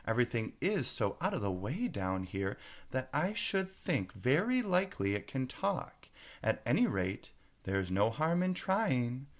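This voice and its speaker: A man's voice: mid-range voice, sing-song, a little slow. A man voicing a small, earnest, polite and fretful girl in a storybook reading. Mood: wondering, polite. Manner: earnest, wondering, apologetic.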